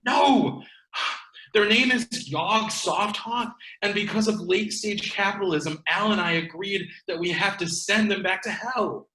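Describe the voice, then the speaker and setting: A man's voice: high voice, measured pace, sharp tone. A man playing a pragmatic, bossy video store clerk with an emphatic, mock-serious manner in a comedy table read. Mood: urgent. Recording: echoey room.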